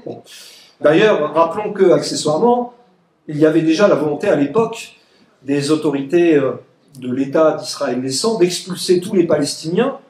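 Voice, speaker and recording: A man's voice: a little high, moderate pace, a little mellow. A man of Egyptian origin giving an emphatic, sarcastic political speech at a public meeting, mocking his opponents. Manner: emphatic, sarcastic, quotes opponents in mock voices. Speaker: political speaker. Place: meeting room. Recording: echoey room.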